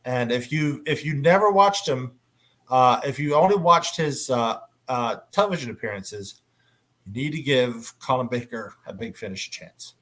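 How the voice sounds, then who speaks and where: A man hosting a casual convention panel, talking in an enthusiastic, halting way. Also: mid-range voice, fairly bright tone. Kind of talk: casual panel discussion about audio dramas.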